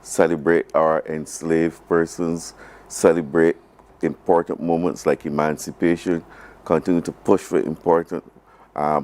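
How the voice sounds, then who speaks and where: Very deep-voiced Barbadian man. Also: a little mellow. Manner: halting, repeats phrases emphatically. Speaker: government program advisor, interview guest. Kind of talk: live broadcast interview.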